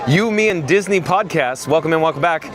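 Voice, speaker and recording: Man, hoarse voice, chatty live-stream vlogger, outdoors, reads chat aloud. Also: fairly high pitch, animated, a little fast. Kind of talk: live-stream walking commentary. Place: outdoors at a theme park.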